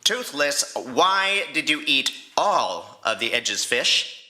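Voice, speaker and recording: A man's voice: mid-range voice, very animated, slow pace, sharp tone, a little hiss or hum, pitched above the performer's own voice, heavily acted. A man voicing an angry young dragon rider who scolds his pet indignantly in an audio storytelling. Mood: exasperated, angry.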